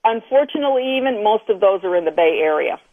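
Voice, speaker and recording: A woman guest on a radio interview, speaking in a measured, deliberate and earnest way. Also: medium pitch, expressive, dry recording. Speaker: radio show guest, activist. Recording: phone line.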